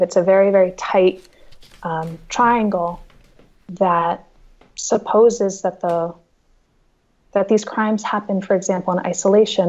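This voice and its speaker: A woman's voice: mid-range voice, dark tone, lo-fi sound. A woman in her thirties, an author answering reader questions from her home over a video call, thoughtful and often restarting her sentences. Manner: thoughtful, with frequent restarts and repeated words. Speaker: author guest. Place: home room with bookshelves. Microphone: video call with earbuds.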